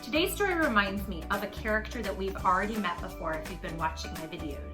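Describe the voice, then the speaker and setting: A woman reading a story aloud to young children in an animated, affectionate, coaxing manner, speaking straight to the listeners. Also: mid-range voice, fast, echoey room, music in the background. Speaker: children's story reader, tutor.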